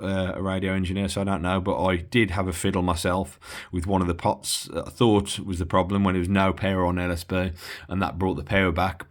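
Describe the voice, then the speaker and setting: Very low-pitched man, casual demonstration. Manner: hesitant, matter-of-fact technical explaining. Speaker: hobbyist demonstrating a used CB radio. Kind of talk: casual unscripted bench demonstration.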